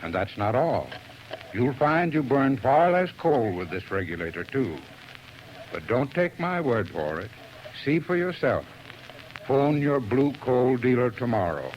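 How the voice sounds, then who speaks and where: Earnest male pitchman, 1940s radio ad, dull lo-fi. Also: big pitch swings, a little slow, darkish tone, a little hiss or hum. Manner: earnest, folksy, persuasive. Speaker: advertising spokesman. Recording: dull old radio broadcast.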